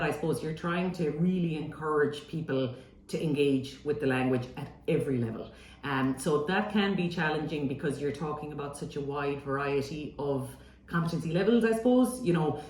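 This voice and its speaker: Chatty woman in an echoey room. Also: sits low. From Ireland, Gaeltacht. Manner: relaxed and chatty, many fillers and restarts. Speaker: university language officer, interviewee. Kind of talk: conversational interview answers.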